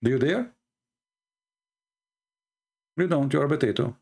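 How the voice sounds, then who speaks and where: A man's voice: medium pitch, lively intonation, measured pace, darkish tone, slightly rough. Middle-aged man with an Arabic accent, mid-range voice, combative host ranting in broken English. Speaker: religious debate host. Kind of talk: heated polemical monologue.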